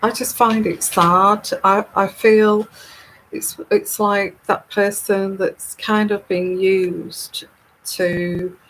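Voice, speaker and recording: A woman with a calming, soothing voice, hosting a live tarot stream and chatting casually as she reads viewer comments. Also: mid-range voice, slight background noise, low-quality recording. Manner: unhurried, chatty and rambling.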